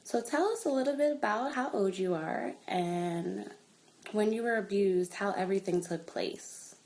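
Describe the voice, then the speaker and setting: Gravelly-voiced woman hosting a podcast. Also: mid-range voice, moderate pace, a little mellow. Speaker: podcast host. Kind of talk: interview introduction.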